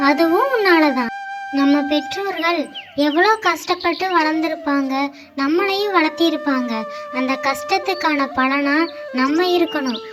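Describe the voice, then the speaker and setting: A caring aunt, acted by a woman in a kids' moral cartoon, with a very high voice. She talks in a gently instructive, admonishing way over clearly audible music. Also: natural voice. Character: caring, admonishing aunt, a married elder sister. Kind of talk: children's moral story cartoon dialogue. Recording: music under the voice.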